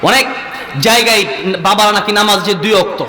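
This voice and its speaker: A very high, sharp-voiced man with a Bangladeshi accent delivers a fiery Islamic sermon. He presses his points with repeated questions and exhortations. The sound rings with echo and long reverb, as through a PA system. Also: brisk. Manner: forceful, exhorting, repeats questions to rouse listeners. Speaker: Islamic preacher. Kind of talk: impassioned waz sermon with call-and-response questions and Arabic recitation.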